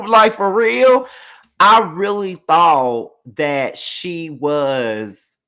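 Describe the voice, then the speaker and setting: A man in his thirties giving a casual, animated reality TV recap, slangy and full of swearing. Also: fairly high pitch, extremely slow, clean tone. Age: 30s. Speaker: reality TV reviewer. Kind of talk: casual live recap commentary.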